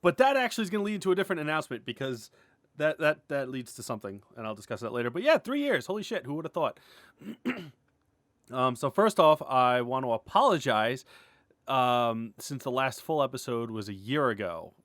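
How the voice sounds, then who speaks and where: Casual, halting man in a dry room. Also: medium pitch, very animated, a bit bright, no room sound. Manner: rambling, halting, self-interrupting, often chuckling and clearing throat; informal, mildly sweary, candid. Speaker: animator and web series creator. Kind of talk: casual update vlog, unscripted.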